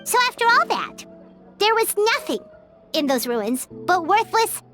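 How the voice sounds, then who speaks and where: A woman's voice: extremely high pitch, very animated, unhurried, music in the background, full character voice. A woman voicing a tiny chatty fairy-like companion in a video game, who speaks of herself in the third person. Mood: musing.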